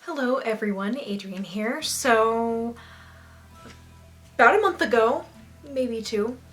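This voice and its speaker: A young woman with a slight lisp reviewing a goth album in a casual, bubbly and dramatic way, a little sniffly and rough from allergies. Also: a little high, takes it slowly, soft background music. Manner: bubbly and dramatic, squeals with excitement.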